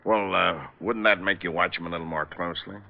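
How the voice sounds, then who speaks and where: An actor playing an even-tempered, courteous American police lieutenant asking understated questions in an old-time radio drama. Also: male voice, deep voice, fairly quick, vocal fry. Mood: courteous probing. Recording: dull vintage radio broadcast recording.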